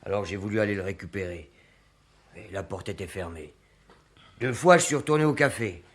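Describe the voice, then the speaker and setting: An actor playing an ashamed young working-class suspect in a French radio play, pleading in halting, colloquial speech. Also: male voice, on the low side, fairly bright tone, lightly acted. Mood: confessional, ashamed.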